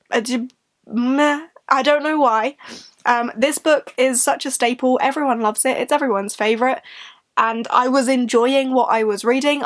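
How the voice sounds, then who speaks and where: A woman with a fairly high voice hosts a sit-down book vlog. She sounds a little congested, as if getting over a cold. Her delivery is casual and rambling, addressed straight to her viewers. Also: a bit bright. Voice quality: slightly congested, bunged-up nose. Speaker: book vlogger, host.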